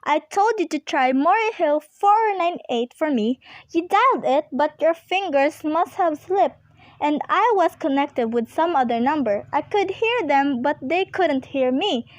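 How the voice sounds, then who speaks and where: A high-pitched, dryly recorded woman playing an anxious invalid wife in a radio drama. She is fretful and imperious, stumbling over words as she makes her demands. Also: animated, a little slow, dry recording. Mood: indignant, impatient. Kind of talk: amateur radio play acting.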